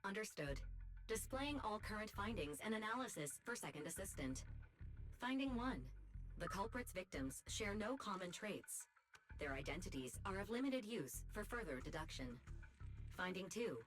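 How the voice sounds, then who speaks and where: An actress playing a formal, self-important AI assistant in a video game, delivering clipped, labelled announcements. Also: female voice, medium pitch, very animated, normal speed, natural voice. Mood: formal, officious. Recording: game music underneath.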